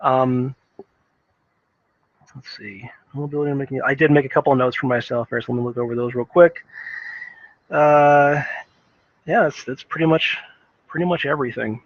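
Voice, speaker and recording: A man giving casual, unscripted game commentary in a rambling, halting way, often repeating words as he thinks. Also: mid-range voice, steady tone, lo-fi sound. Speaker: video game reviewer.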